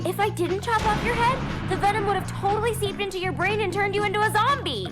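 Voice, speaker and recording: A woman's voice: extremely high pitch, fast talker. A woman playing a sweet, earnest, girlish teenage cheerleader in a video game cutscene. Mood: sweetly defensive. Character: sweet, perky teenage cheerleader girlfriend with magic. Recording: loud game music behind.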